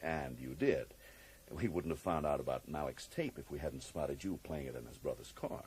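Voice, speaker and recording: An actor playing a cagey, drily amused federal agent in a radio drama, speaking with polite, evasive hesitations. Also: male voice, rumbling low, moderate pace, darkish tone, slight background noise. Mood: cagey, businesslike. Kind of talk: 1980s radio drama acting.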